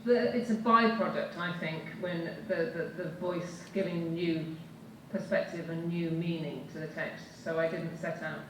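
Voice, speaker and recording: A woman's voice: on the low side, fairly even intonation, measured pace, hardly any pauses. A woman theatre director on a panel, speaking emphatically with firm opinions. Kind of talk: panel discussion. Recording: echoey hall from far off, noisy.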